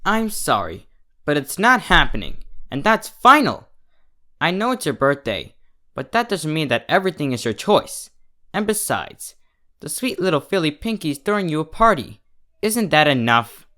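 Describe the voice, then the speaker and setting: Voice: mid-range voice, very animated, normal speed, sharp tone, pitched above the performer's own voice. A narrator voicing a stern, weary pony mother in a cartoon fan story. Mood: firm, exasperated.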